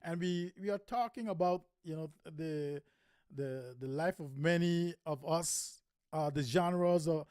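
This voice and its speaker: A man's voice: a little high, measured pace, a little mellow, smooth, no echo, studio quality. A man hosting a live morning radio show, chatting loosely with many ums and you-knows as he asks his co-host questions. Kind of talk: casual radio chat. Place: radio studio.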